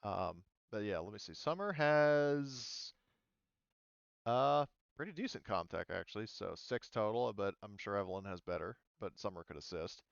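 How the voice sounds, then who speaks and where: A man acting as game master, casually explaining a tabletop roleplaying game in a halting way. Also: lowish voice, animated, gravelly, smooth, no room sound, highs cut off.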